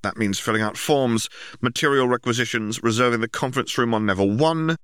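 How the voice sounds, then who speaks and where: A man's voice: medium pitch, brisk, a bit bright, smooth, no room sound, studio quality, pitched above the performer's own voice, lightly acted. A man voicing an officious, earnest young bureaucrat, a diligent older brother who talks formally and insistently in an audiobook story.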